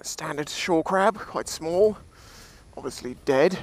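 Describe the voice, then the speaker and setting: A man's voice: a little high, lively intonation, slow pace. A British man giving casual, jokey, sweary commentary as he vlogs outdoors on a seashore. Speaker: beachcombing vlogger.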